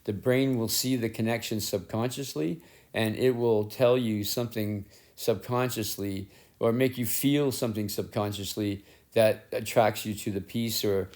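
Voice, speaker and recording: A middle-aged male artist with a rough, raspy voice, casually narrating his work in a rambling, self-deprecating way with frequent restarts. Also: on the low side, fairly even intonation, normal speed, darkish tone. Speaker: collage artist and painter. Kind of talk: casual narration while working. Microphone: head-mounted action camera.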